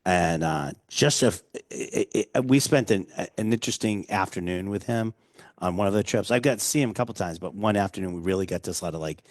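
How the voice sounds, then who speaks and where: A man's voice: deep voice, brisk, dry recording, clean studio sound. A man hosting a casual whiskey tasting, chatty and rambling with jokes. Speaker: host.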